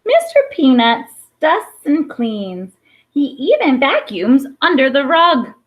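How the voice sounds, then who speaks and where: A woman reading a children's picture book aloud expressively, with pauses for emphasis and small asides. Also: high-pitched, very animated. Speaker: storybook reader. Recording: dull, lo-fi sound.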